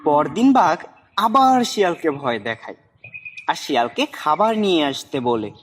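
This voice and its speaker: Animated storyteller with a swooping delivery. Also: mid-range voice, normal speed, soft background music. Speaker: narrator. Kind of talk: scripted children's animal story narration.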